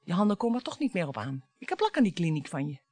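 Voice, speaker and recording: A woman's voice: on the low side, big pitch swings, fast, slightly rough, dry recording. An actress in a radio play playing a bitter, taunting middle-aged wife and mother, sarcastic and dramatic. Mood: combative. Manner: sarcastic, dramatic, swinging between hushed and biting.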